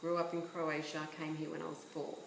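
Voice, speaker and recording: A woman guest from Yugoslavia explains her views in an earnest, questioning way. Her voice is a little low. She sounds echoey, with a faint hum behind her. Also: completely flat delivery, fairly quick, vocal fry. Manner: earnest, opinionated, asks rhetorical questions. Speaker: author and guest. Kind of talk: casual interview chat.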